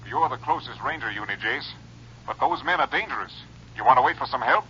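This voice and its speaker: An actor playing an urgent, worried American law officer in a 1950s radio western, husky and clipped as he reports. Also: mid-range voice, lively intonation, a little fast, pushed and bright, heavily acted. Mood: concerned. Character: urgent, worried Texas Ranger officer briefing a colleague. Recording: dull old radio recording.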